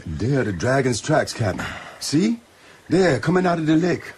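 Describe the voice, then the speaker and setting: A man's voice: medium pitch, sing-song, normal speed, darkish tone, slight background noise, natural voice. An actor playing a loyal, wary Jamaican boatman and guide in a radio drama, plain-spoken and deferential, with a Jamaican accent. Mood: alarmed.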